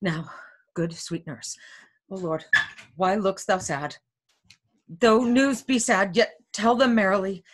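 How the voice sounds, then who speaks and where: A woman's voice: medium pitch, slow pace, long pauses. A woman reading an impatient, lovesick young heroine in a Shakespeare play, with dramatic, insistent pleading. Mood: eager, anxious.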